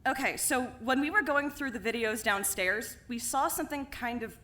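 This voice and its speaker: A woman's voice: a little high, normal speed, pushed and bright. A woman voicing a blunt, suspicious young woman who talks casually and swears freely, in a fan audio drama.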